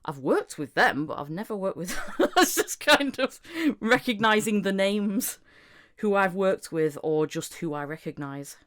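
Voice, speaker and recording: A woman's voice: mid-range voice, animated, unhurried, a bit bright. A woman hosting a casual podcast chat about voice acting. She laughs often and acts out little quoted exchanges as she talks. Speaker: podcast host.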